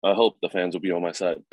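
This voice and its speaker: A young man in his twenties, a rugby player answering interview questions in a relaxed, halting way with many fillers and chuckles. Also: deep voice, no room sound. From New Zealand. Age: 20s.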